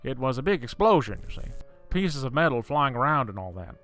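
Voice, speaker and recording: A man's voice: mid-range voice, very animated, a little fast, fairly bright tone, faint music behind. A man playing an older, absent-minded professor in a horror audio drama, mild and matter-of-fact in manner. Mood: apologetic.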